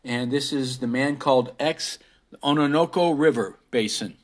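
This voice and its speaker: A man's voice: medium pitch, normal speed, very noisy. A man introducing a show as its podcast host.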